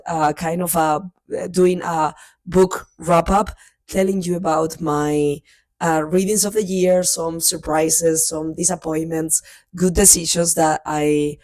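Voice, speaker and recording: Woman, chatty and low. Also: darkish tone, no room sound. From Spain. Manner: chatty, with frequent restarts and fillers. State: recovering from a cold. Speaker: book vlogger. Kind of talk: casual chat. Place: family house, indoors.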